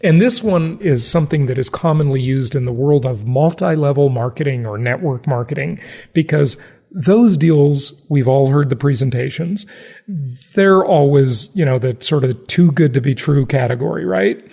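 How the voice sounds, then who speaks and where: A man's voice: medium pitch, normal speed, vocal fry, mellow. A man hosting a podcast in casual conversation, with an emphatic, salesy and joking way of talking. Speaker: podcast host.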